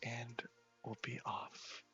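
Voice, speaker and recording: A man hosting an ASMR game walkthrough, speaking softly and often dropping into a half-whisper. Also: slow pace, highs cut off. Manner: soft-spoken, half-whispered. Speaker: host of a gaming series. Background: music.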